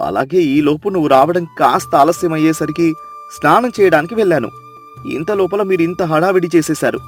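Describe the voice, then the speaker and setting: An actor playing an exasperated, bossy village husband who scolds impatiently in a moral story. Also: male voice, a little high, fairly quick, faint music behind, natural voice. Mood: angry, reproachful.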